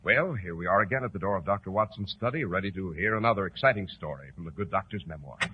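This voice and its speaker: A man with an American accent announcing and pitching for a sponsor in polished, emphatic 1940s radio style. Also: lively intonation, fast, background noise. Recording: tinny, narrow old radio recording.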